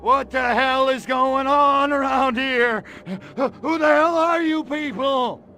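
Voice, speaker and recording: An actor playing a brash, lecherous alien invader in a video game, with a cocky, sarcastic, slangy delivery. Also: male voice, extremely high pitch, steady tone, takes it slowly, sharp tone, soft background music, full character voice. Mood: groggy, indignant. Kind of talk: video game cutscene acting.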